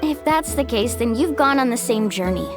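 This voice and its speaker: A bright young guide. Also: female voice, a little high, animated, moderate pace. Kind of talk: video game voice acting. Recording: game audio with background music.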